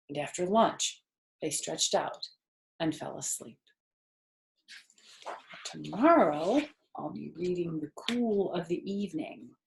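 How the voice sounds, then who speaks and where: Lower-pitched older woman reading a story. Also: vocal fry, slight background noise, low-quality recording. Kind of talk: children's book read-aloud.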